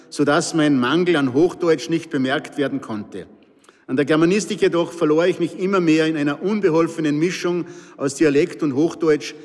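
A man's voice: a little high, darkish tone. An older man with an Austrian Pinzgau accent telling and reading wry, dryly humorous stories to listeners. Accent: Austrian, Pinzgau region. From Pinzgau, Salzburg, Austria. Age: 60s or older. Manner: wry, dry humour. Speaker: storyteller, author reading own stories. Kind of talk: live anecdotes and reading to an audience. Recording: echoey hall with long reverb.

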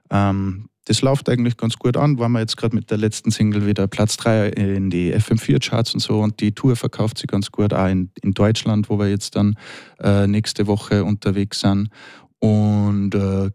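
A man in his thirties with an Austrian accent chats as a musician guest in the studio. He talks in a relaxed, rambling way, full of fillers. His voice sits a bit low, and the recording is dry and close. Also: smooth, dry recording. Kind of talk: casual interview talk.

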